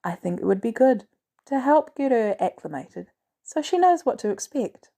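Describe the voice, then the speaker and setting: A woman voicing a poised, caring female executive with composed, gently teasing delivery in a story reading. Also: a little high, very animated, moderate pace, voice pushed up. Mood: scheming. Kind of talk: storybook character dialogue.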